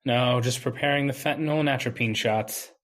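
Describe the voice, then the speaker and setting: A man's voice: mid-range voice, fairly quick, a bit bright, lightly acted. A man playing a wronged young medical intern, bitter and brooding, in old-time radio drama style.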